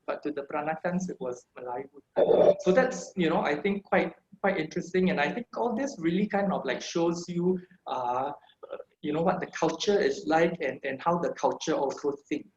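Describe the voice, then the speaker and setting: A man's voice: on the high side, darkish tone. Singapore-raised male presenter on a video call, casual and rambling, roomy muffled sound. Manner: chatty, many fillers and tag questions. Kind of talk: online talk with slides. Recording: echoey room, dull lo-fi video call audio.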